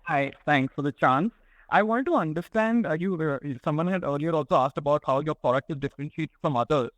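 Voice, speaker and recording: A man's voice: fairly high pitch, fairly quick, no room sound, low-quality recording. A man, an analyst on an earnings call, asking direct, probing questions.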